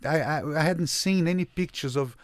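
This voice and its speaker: A man from Brazil, a photographer and interview guest, telling a story in an animated way, often repeating words and restarting his sentences. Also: on the high side, normal speed, dry recording, clean studio sound.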